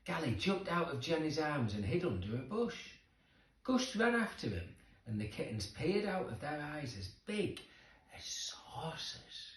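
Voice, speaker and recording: A man's voice: fairly high pitch, very animated, unhurried, fairly bright tone. A man narrating a children's story expressively, with dramatic emphasis for young listeners. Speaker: storybook reader. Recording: roomy echo, distant microphone.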